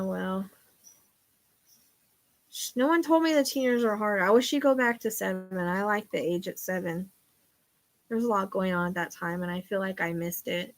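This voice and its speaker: Middle-aged woman, psychic show host, loud voice, rambling confessional chat. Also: on the low side, gravelly. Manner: rambling, confiding, trails off quietly. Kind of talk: casual chat.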